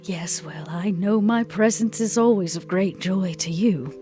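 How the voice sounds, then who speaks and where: Low-pitched, dry woman role-player. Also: a little slow, over music. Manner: dry, sardonic. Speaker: player in a role-playing podcast. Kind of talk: in-character tabletop role-play.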